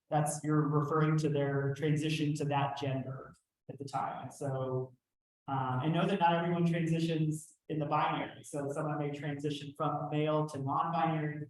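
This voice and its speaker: A man's voice: a little high, darkish tone, reverberant, low-quality recording. A man from Texas with a light Texan drawl, teaching a workshop in a conversational way, with some fillers and restarts. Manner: conversational, with fillers and self-corrections. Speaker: trainer. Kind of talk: workshop lecture.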